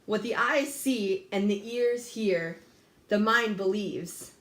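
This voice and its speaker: A woman's voice: medium pitch, slow pace, a bit bright. A young woman hosting a scripted mock talk-show interview in an upbeat, read-from-script manner. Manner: upbeat, reads questions from a script. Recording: echoey room.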